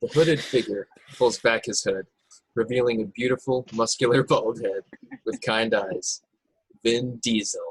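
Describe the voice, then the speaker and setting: A man's voice: medium pitch, measured pace, slightly rough, no echo, poor audio. A man speaking as narrator and organizer of an informal table read over a video call. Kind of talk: scripted narration and casual chat.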